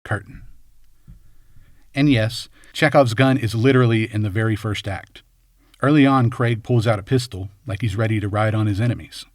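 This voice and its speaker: A man's voice: fairly low pitch, moderate pace, dry recording. Man narrating a dry-sounding, wry video essay. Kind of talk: scripted narration, light film commentary.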